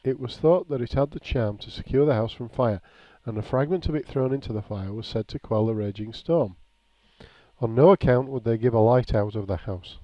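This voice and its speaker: Male narrator for a local memoir: mellow, measured, plain delivery, slightly muffled lo-fi recording. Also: medium pitch. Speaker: reader of a written local-history account. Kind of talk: reading aloud a nostalgic written memoir. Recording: dull, lo-fi, echoey room.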